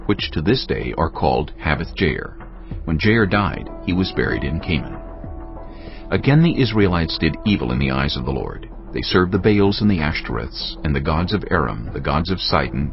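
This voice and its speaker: A man's voice: a bass voice, gravelly. A man narrating dramatized scripture as a solemn storyteller. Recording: slightly dull audio with music underneath.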